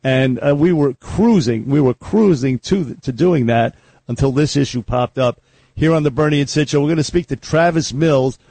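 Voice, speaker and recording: Gravelly, blunt talk radio man. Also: mid-range voice, normal speed, warm tone, no echo, poor audio. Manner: blunt and combative. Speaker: radio talk show host. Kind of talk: opinionated political talk radio.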